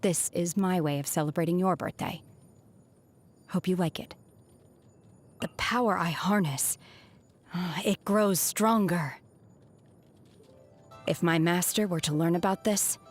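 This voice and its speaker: A woman's voice: fairly low pitch, soft background music. A woman playing a solemn, aloof young exorcist raised by immortals, speaking formally and earnestly as a video game character. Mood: tender, wistful. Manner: measured, stoic, formal, softly earnest.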